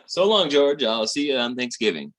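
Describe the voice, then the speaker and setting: A man playing a slangy, squeamish small-time schemer with a raspy voice in a 1940s-style radio comedy. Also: medium pitch, sing-song, moderate pace, close to an everyday voice. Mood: breezy, scheming.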